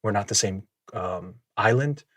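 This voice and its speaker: Low-voiced man, reflective and dry. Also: fairly bright tone, no echo. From Minnesota, USA. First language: Samoan. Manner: reflective, measured, laughs often. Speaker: podcast guest. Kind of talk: casual conversational storytelling.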